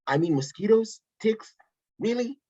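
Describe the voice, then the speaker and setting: A man's voice: high-pitched, lively intonation, a little slow, warm tone, husky, highs cut off, exaggerated voice. A man reading a smug, playful male billionaire villain in a breezy, sing-song way.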